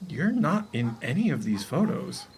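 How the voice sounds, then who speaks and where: An actor playing a blunt, easygoing house builder in a romantic comedy radio play. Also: male voice, mid-range voice, very animated, normal speed, vocal fry, a little mellow, slight background noise. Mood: gentle. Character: blunt, easygoing male house builder, proud of his work.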